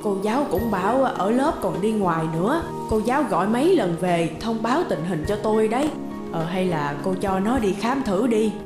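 A woman as a gentle, hesitant cartoon nanny. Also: medium pitch, animated, moderate pace, music in the background, lightly acted. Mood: worried. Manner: coaxing, hesitant. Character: gentle, worried middle-aged housemaid and nanny. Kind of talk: animated drama dubbing.

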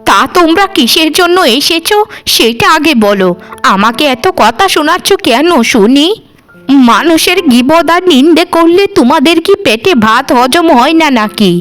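Woman as a stern, high-voiced older mother-in-law. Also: animated, normal speed, a bit bright, faint music behind. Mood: indignant. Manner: scolding, indignant. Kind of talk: cartoon voice acting.

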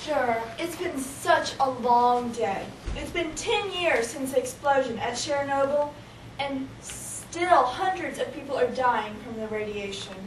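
A woman's voice: high-pitched, lively intonation, unhurried. A young teenage girl playing a weary doctor in an amateur school skit, with stiff scripted delivery. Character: weary doctor lamenting radiation victims, woman. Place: small office meeting room indoors. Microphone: camera across the room. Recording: distant, noisy, echoey camcorder sound.

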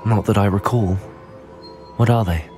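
A man acts a young male wizard student in a fantasy game. He has a lowish voice and a polite, composed, earnest manner. Music plays faintly underneath. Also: normal speed, dark tone, lightly acted. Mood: courteous. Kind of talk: video game cutscene acting.

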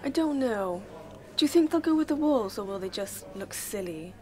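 A woman's voice: fairly high pitch, expressive, normal speed, mellow, lightly acted. A young woman playing a clingy, lonely mother, stubborn and needy, in radio-play drama. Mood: indecisive. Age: young adult. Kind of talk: low-key dramatic radio-play dialogue.

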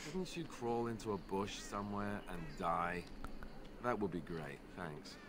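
An actor playing a reluctant young warrior with a dry, sarcastic manner in a fantasy video game. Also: male voice, fairly low pitch, a little slow, natural voice. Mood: sarcastic, irritated. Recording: clear outdoor ambience noise behind.

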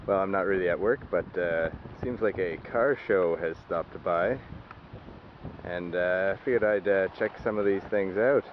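A laid-back Canadian man with a lowish voice chats casually in loose slang. The sound is muffled camcorder audio, caught outdoors over clear vehicle noise. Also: normal speed. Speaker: amateur vlogger behind the camera. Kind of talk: casual chat. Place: outdoors in a parking lot. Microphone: handheld camera microphone.

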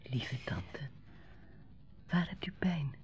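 Mellow, caring woman. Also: deep voice, sing-song, extremely slow, in a whisper, faint music behind, exaggerated voice. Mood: calm, caring. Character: calm, caring, composed woman. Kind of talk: radio drama acting.

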